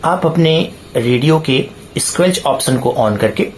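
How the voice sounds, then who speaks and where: A man presenting a tutorial point by point, in deliberate, halting phrases with stressed key words. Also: fairly high pitch, animated, a little hiss or hum, low-quality recording. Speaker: presenter of radio hobby tutorials.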